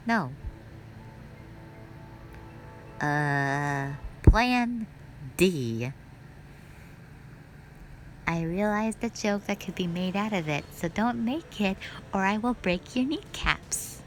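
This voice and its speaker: Slow-talking wistful witch, acted by a woman. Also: mid-range voice, a little hiss or hum. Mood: teasing. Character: soft-spoken witch sister, wistful, scheming and playful. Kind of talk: audio roleplay acting addressed to the listener.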